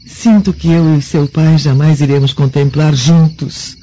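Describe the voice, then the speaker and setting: An actress with a Brazilian accent playing a gentle, wistful mother of a bride in an ancient Roman radio drama. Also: sits low, fairly even intonation, a little slow, soft background music. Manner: gentle, sentimental. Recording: muffled radio drama, faint noise.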